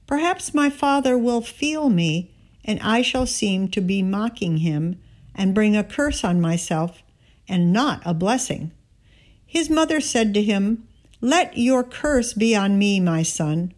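A woman reads a passage of scripture aloud as narration with dialogue. Her voice sits at a medium pitch. She takes it very slowly, with deliberate, measured phrasing. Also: animated, a little mellow. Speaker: scripture reader.

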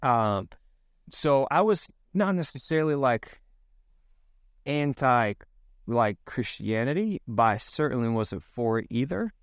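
A man's voice: mid-range voice, big pitch swings, unhurried, clean tone, dry recording, studio quality. A man in his thirties from Sweden with a Swedish accent, speaking English, reflecting as a podcast guest with many fillers and restarts. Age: 30s. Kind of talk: casual podcast interview.

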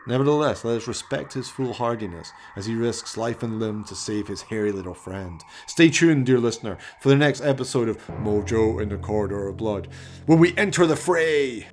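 A man's voice: medium pitch, lively intonation, normal speed, a little hiss or hum. A man delivering theatrical, scripted narration for a comic horror radio play, in an arch, melodramatic manner.

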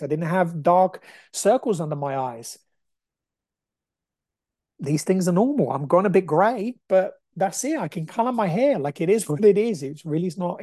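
A man's voice: fairly high pitch, warm tone, no echo, studio quality. A man in his forties from Brazil, hosting a podcast with an emphatic, repetitive, motivational way of talking. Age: 40s. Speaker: podcast host. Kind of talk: motivational monologue.

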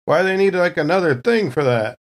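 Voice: mid-range voice, animated, brisk. A tabletop game player talking casually in character, stammering and repeating words. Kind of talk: casual improvised in-character chat.

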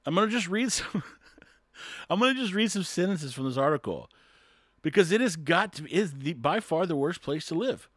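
Animated, chuckling man in casual talk. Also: fairly high pitch, normal speed, no echo, clean studio sound. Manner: chuckles often, rambling, stumbles over words. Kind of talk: casual solo talk, reading an article aloud.

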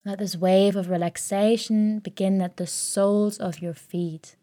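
Slow, mellow woman guiding meditation. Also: mid-range voice, dry recording, clean studio sound. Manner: calm, soothing, gentle delivery with long pauses. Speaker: meditation guide. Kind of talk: guided sleep meditation, soft scripted narration.

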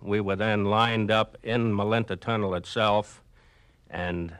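Guy from brooklyn as old radio interview guest, lowish voice, careful formal wording, sounds scripted. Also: steady tone, fairly bright tone, clear, resonant tone, dry recording. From Brooklyn, New York. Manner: measured, precise, formal. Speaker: interview guest, former prisoner of war.

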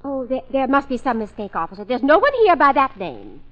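High, fluttery, flustered society wife. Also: female voice, big pitch swings, brisk, fairly bright tone, highs cut off. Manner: nervous, hesitant, fluttery. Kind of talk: old-time radio comedy-drama acting.

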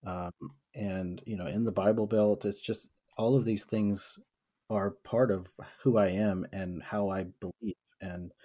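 A man from the American South with a low, mellow voice talks through a book in a casual podcast chat. He reads passages aloud, then reflects on them slowly, with plenty of "um"s and restarts. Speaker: podcast co-host.

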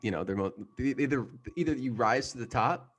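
A man's voice: medium pitch, animated, brisk, lightly acted. A man playing an unhinged, blustering teacher in improv comedy, often repeating himself. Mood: smug, unrepentant. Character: unhinged male teacher forcing tests on captives.

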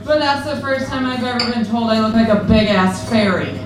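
A young woman performing a crude, self-deprecating stand-up set in a deadpan manner. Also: a little high, a little fast. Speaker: stand-up comedian. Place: comedy club or bar stage. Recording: echoey room, distant, noisy.

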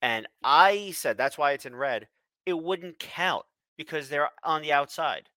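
Congested man, bright crisp voice. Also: a little high, a little slow, no room sound, clean studio sound. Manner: laid-back, brief interjections and corrections. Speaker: podcast co-host. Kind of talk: casual chat.

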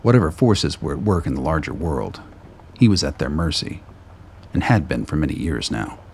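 A man reading scripted narration for a radio play in a deep, gravelly voice. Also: sing-song, moderate pace, darkish tone, slight background noise.

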